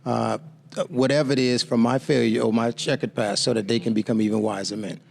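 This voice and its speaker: A man in his fifties from the Bahamas speaks as an interview guest, with a gravelly, fry-laden voice. He tells his story in a measured, reflective way at a mid-range pitch. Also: fairly even intonation, a little mellow. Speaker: guest author.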